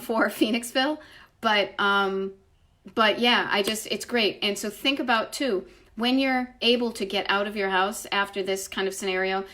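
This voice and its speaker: A woman's voice: medium pitch, a bit bright. A woman with a slight Boston accent chatting casually as a livestream host, rambling effusively and interrupting herself with emphatic asides. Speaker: livestream host, retro travel writer. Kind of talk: casual chat answering live viewer comments. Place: at home, indoors.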